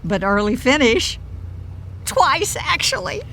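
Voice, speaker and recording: A middle-aged mom on a cruise, in a high, very lively voice. She takes her time over her lines, playful and wry, as in a comedy audio drama, with faint ambience behind her. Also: takes it slowly, creaky, noisy background, full character voice. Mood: smug, joking. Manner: wry, expressive delivery.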